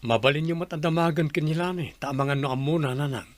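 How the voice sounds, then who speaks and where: A man's voice: fairly high pitch, fast talker, natural voice. An actor in an Ilocano radio drama playing a resentful, stubborn son talking with his mother. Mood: sullen.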